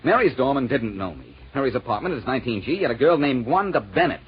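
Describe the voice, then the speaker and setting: An actor playing a determined, worried amateur sleuth in a 1940s radio detective drama. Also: male voice, medium pitch, very animated, fast talker, slightly rough. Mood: worried, earnest. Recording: muffled vintage radio recording.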